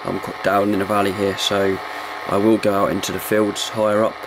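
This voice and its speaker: A man giving a casual, low-key hands-on product review in an informal way. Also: little pitch movement, fairly quick, noisy background. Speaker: amateur radio reviewer.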